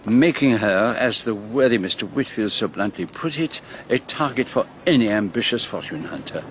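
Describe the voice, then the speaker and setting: An actor playing a courteous, shrewd, vain detective with a French-speaking Belgian accent, formal and precise, in a radio drama. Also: male voice, fairly low pitch, lively intonation, normal speed, a little hiss or hum, close to an everyday voice. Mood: wry, assured.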